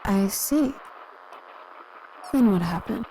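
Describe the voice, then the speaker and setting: Mellow, patient interrogator, noisy tape. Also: female voice, mid-range voice, animated. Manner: measured, controlled, gently probing. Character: calm, patient female agency interrogator. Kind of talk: audio drama acting, formal interview. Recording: clearly audible background noise.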